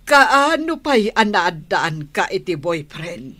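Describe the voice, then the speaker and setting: A woman's voice: medium pitch, sing-song, takes it slowly, sharp tone, full character voice. An actress with an Ilocano Filipino accent playing a doubtful, questioning middle-aged mother in a radio drama.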